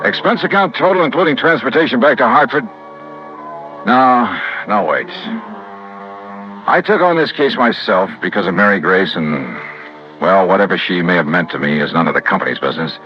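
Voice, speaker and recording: A man's voice: on the low side, lively intonation, moderate pace, soft background music, close to an everyday voice. A man playing a shrewd, tough insurance investigator in 1950s radio noir style, with a clipped American delivery. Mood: somber, weary. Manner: clipped, hard-boiled. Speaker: lead actor of a radio detective series. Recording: muffled old radio recording.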